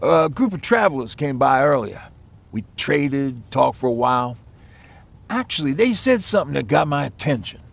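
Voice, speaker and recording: Gravelly, easygoing resistance mechanic. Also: male voice, medium pitch, very animated, moderate pace. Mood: wry, friendly. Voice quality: gravelly, husky. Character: easygoing resistance mechanic, wry and friendly tinkerer. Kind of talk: video game voice acting.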